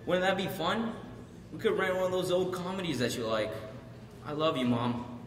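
A man's voice: high voice, lively intonation, moderate pace, sharp tone. A young man acting a resentful son worn down by his dependent mother, in a dramatic monologue. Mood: remorseful, pleading. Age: young adult. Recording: roomy echoing hall, distant mic, faint noise.

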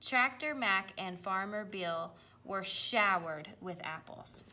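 A woman reading a children's picture book aloud, with careful, expressive delivery. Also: medium pitch, slow. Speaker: storybook reader.

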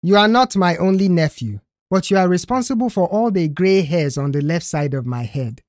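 A woman's voice: fairly low pitch, animated, moderate pace, darkish tone, no echo, pitched above the performer's own voice, close to an everyday voice. A woman voicing a stern, exasperated male boss who scolds with sarcastic questions in an audio story. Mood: sarcastic scolding.

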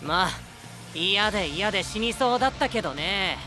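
A man's voice: sits high, expressive, normal speed, music in the background. An actor playing a blunt, flippant young man who speaks in a dry, offhand way. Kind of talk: anime voice drama acting.

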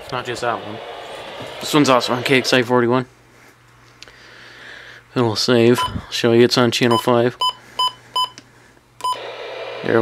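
Man, casual vlog commentary, halting and puzzled, handheld camera mic, heavy noise, indoors. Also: mid-range voice. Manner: puzzled, halting, thinking aloud. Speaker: vlogger, weather radio hobbyist. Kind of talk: casual off-the-cuff commentary while demonstrating gadgets. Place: small room indoors. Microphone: handheld camera microphone. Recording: very noisy camera audio, radio static.

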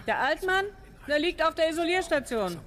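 An actress playing a brusque, indifferent care worker in a radio play, curt and offhand. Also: female voice, extremely high pitch, lively intonation, normal speed, a bit bright, slight background noise. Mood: impatient. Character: brusque, indifferent nursing home carer. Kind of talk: German radio play acting.

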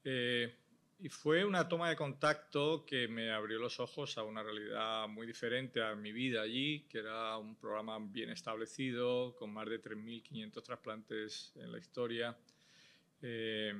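A middle-aged man tells his story at an indoor panel talk. His voice is bright and forward, and he speaks into a table microphone. He talks in a measured, reflective way and fills his pauses with a soft "eh". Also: medium pitch, fairly even intonation. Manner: measured, thoughtful, with frequent "eh" pauses. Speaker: transplant surgeon on a panel. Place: indoor panel stage before a logo backdrop. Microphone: table microphone on a stand.

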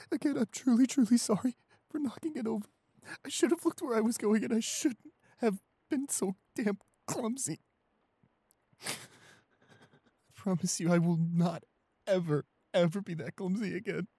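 Shy young boyfriend, played by a man, audio roleplay, high-pitched, breathy and husky, anxious, apologetic, halting speech. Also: dark tone, no room sound, full character voice. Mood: tearful relief. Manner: halting, stammering, repeating pleas. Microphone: close studio microphone, dry.

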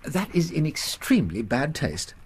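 An actor playing a proper, earnest Victorian gentleman doctor in a radio drama. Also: fairly high pitch, big pitch swings, moderate pace, a little hiss or hum. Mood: shocked, earnest.

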